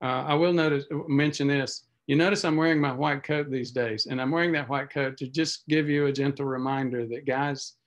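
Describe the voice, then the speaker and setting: A man's voice: medium pitch, fairly even intonation, moderate pace, few pauses, lo-fi sound. A man in his sixties, a physician hosting a casual video-call update, talking conversationally and reading viewer comments in a self-deprecating way. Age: 60s. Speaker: physician host. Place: home office on video call. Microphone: video call webcam mic.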